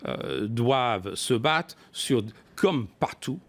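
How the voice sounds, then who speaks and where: Male expert guest, medium pitch, broadcast interview, halting and self-correcting delivery. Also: expressive, fairly bright tone. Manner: hesitant delivery, often repeating and rephrasing words. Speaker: business expert guest, former company executive.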